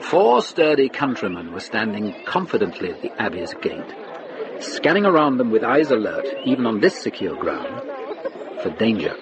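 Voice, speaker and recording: A man narrating a radio drama in a scripted, literary style, with measured storytelling phrasing. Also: lowish voice, big pitch swings, a crowd in the background.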